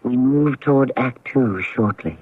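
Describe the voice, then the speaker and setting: A man's voice: medium pitch, husky. Ominous male mystery host, tinny radio. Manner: deliberate, philosophical, ominous. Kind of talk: dramatic scripted narration. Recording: tinny radio broadcast, faint music.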